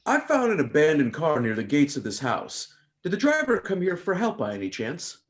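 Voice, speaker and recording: A questioning police officer read by a man in a comedy mystery. He is courteous but suspicious, with very animated pitch. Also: moderate pace. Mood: polite suspicion. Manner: polite, questioning. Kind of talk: live table read of a comedy mystery screenplay.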